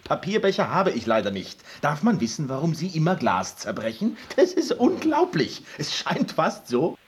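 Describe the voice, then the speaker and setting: A man's voice: on the high side, huge pitch swings, normal speed, breathy edge, full character voice. An actor in a radio drama playing a talkative, curious and teasing man who tells stories in a theatrical, ironic way. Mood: intrigued, teasing. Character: talkative, curious, teasing male host telling an old tale. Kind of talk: German radio drama, dialogue and storytelling.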